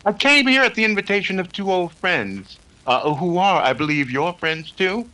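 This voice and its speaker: An actor playing a formal, erudite doctor of psychic research in a 1930s-style radio drama, with slight hesitations. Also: male voice, high voice, sing-song, normal speed, bright, forceful tone, heavily acted, rough recording. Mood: courteous, formal. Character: courtly, learned psychic investigator, a male doctor.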